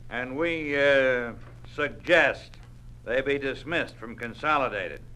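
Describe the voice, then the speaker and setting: An actor playing a blunt, menacing trade union boss with a tough, folksy American manner of speech in a 1950s radio drama. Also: male voice, medium pitch, takes it slowly, bright, forceful tone, clean tone. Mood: cold, commanding. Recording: noisy old radio recording.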